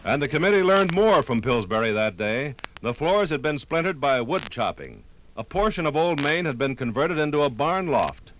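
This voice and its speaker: Animated American man narrating vintage radio documentary. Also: on the high side, slight background noise, low-quality recording. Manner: formal, theatrical, measured phrasing. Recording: vintage 1950s radio recording.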